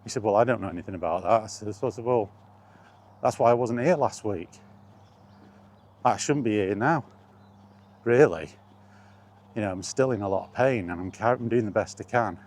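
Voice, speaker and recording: A man's voice: lowish voice, moderate pace, long pauses, a little mellow. A middle-aged British man casually rambling through personal memories, as in an informal vlog. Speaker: vlogger.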